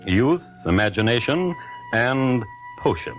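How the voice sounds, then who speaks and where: A man's voice: mid-range voice, very animated, slow pace, lo-fi sound. A man narrating a 1950s radio crime drama in a dry, ironic scripted style. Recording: old radio broadcast with music underneath.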